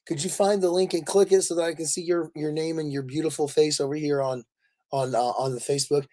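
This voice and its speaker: A man hosts a casual livestream in a somewhat higher-pitched voice that is raspy and worn from too much talking. He rambles along, effusive and jokey, addressing his viewers like old friends. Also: fairly even intonation, brisk, dark tone. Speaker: livestream host. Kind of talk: casual chat with live viewers.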